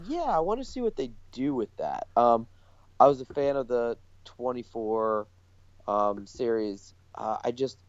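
A man's voice: medium pitch, expressive, a little slow. A man co-hosting a podcast, chatting casually in tentative, stop-and-start phrases on a dull recording. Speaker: podcast co-host. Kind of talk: casual chat. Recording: dull, lo-fi, roomy echo.

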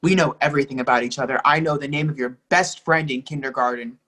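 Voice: medium pitch, fairly even intonation, quick pace, a bit bright, natural voice. An actor as an imploring, lovestruck young partner. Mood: heartbroken. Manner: emphatic, imploring. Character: lovestruck, pleading young partner, hurt and insistent. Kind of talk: readers theatre dialogue reading.

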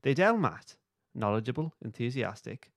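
A man's voice: medium pitch, big pitch swings, moderate pace, gravelly, noisy background. A man hosting a podcast, playfully reading a comic script aloud and breaking off to comment. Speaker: podcast host.

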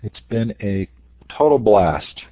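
A slightly hoarse man hosting an online education interview, upbeat, reading announcements with frequent fillers. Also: lowish voice, animated, slow, dry recording. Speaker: host. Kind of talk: webinar interview introduction. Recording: tinny dry online call audio.

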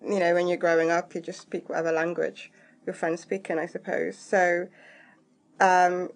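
A woman's voice: fairly low pitch, level tone, moderate pace. A woman whose first language is Somali, talking hesitantly on a panel with many fillers. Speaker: panelist, translator.

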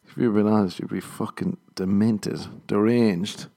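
Man, lowish voice, casual podcast monologue, rambling, swearing, laughing. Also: steady tone, unhurried, gravelly, mellow. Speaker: comedian and podcast host. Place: living in Los Angeles.

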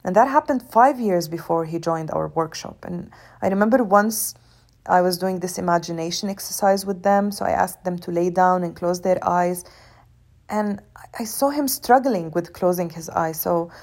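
A woman's voice: on the low side, normal speed, a little mellow. Young woman, earnest, keeps restarting words, talking through her story. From Syria. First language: Arabic. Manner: earnest, searching for words with frequent repeats. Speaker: actress guest. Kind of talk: reflective interview storytelling.

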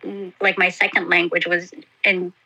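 A woman, a native Indonesian speaker, answering interview questions about growing up abroad in a hesitant, halting way with many fillers and restarts. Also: on the low side, level tone, creaky, sharp tone, husky, poor audio. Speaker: interview guest. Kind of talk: casual interview.

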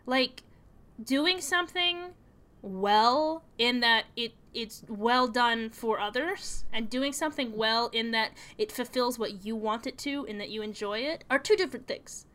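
Bright-voiced young woman chatting on a podcast. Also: on the high side, a little slow, clear, resonant tone. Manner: chatty, storytelling, with frequent fillers. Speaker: podcast co-host. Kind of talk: casual podcast chat.

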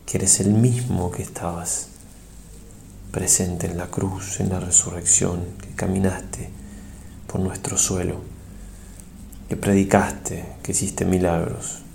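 A man, a priest, leads a guided prayer meditation in a solemn, reverent and measured way, pausing between short phrases. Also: low-pitched, little pitch movement, vocal fry, mellow, slight background noise.

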